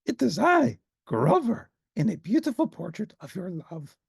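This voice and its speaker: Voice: medium pitch, big pitch swings, normal speed, vocal fry, breathy edge, no echo, clean studio sound, voice pushed up, exaggerated voice. A performer voicing an earnest, dramatic furry monster messenger in a children's storybook, with a theatrical, plaintive and eager way of talking. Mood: heroic, dramatic.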